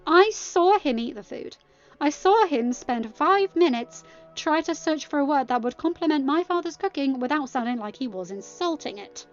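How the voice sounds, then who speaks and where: A woman's voice: sits high, animated, moderate pace, dull audio, soft background music, voice pushed up. A woman voicing a proud young woman who speaks coolly and pointedly in a storybook reading. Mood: shocked, defensive. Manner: cool, pointed. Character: proud young woman, cool, sharp, defensive of her father.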